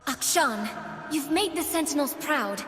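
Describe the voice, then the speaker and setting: A woman's voice: sits high, animated, unhurried, a bit bright. A woman voicing an earnest, eager young doll-like heroine in theatrical video game lines. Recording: reverberant game audio over music.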